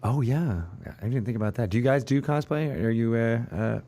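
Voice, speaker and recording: A man's voice: mid-range voice, brisk, warm tone. A man hosting an interview show, chatting casually and thinking aloud with frequent asides. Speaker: talk show host.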